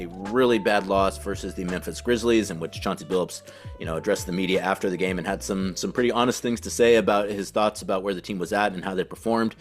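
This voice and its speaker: A man hosting a sports podcast with casual commentary, talking in a conversational, halting way and circling back to rephrase. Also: fairly low pitch. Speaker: podcast host and team beat reporter.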